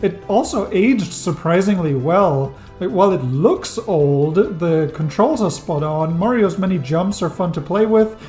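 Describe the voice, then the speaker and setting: A man's voice: high voice, animated, unhurried, few pauses, darkish tone, soft background music. Wry enthusiastic non-native man reviewer. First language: not English. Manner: wry, enthusiastic, dry jokes. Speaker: game reviewer. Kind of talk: scripted video-essay narration.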